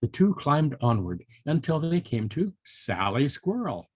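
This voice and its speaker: An older man tells a children's story in a husky, very animated voice. He is playful and chatty, pausing for asides and questions to young listeners. The sound is dry and close. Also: mid-range voice, no echo. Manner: chatty, expressive, asks rhetorical questions.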